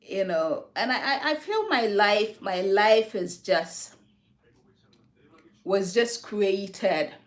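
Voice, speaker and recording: A woman's voice: medium pitch, a bit bright. A woman from Ghana hosting a casual livestream from her home, talking reflectively about faith and answering viewers' comments. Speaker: livestream host.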